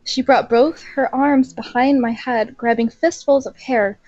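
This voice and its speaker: A woman's voice: high voice, steady tone, measured pace, darkish tone, no echo, lo-fi sound. Giggling young woman reading aloud. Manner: giggly, sarcastic comments between passages. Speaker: fan fiction reader. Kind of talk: mock-dramatic reading with laughing asides.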